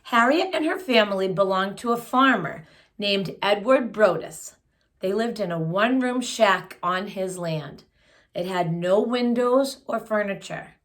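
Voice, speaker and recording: A woman's voice: mid-range voice, a little slow, fairly bright tone. A woman reading a children's picture book aloud, phrasing it expressively and adding simple explanatory asides for young listeners. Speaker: children's story reader.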